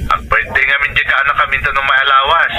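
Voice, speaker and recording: A man's voice: medium pitch, monotone, very fast, bright, forceful tone, faint music behind, rough recording. A man playing a needy older brother asking his sister for money, with a Filipino Ilocano accent, in audio drama dialogue. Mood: coaxing.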